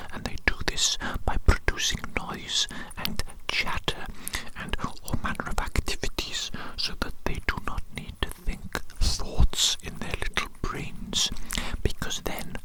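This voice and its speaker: A performer whispering an ASMR roleplay as a doting, rambling evil scientist, with breathy, repetitive, grandiose and formal phrasing. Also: lightly acted, rough recording. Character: evil mad scientist doctor, doting, rambling, existentially brooding creator.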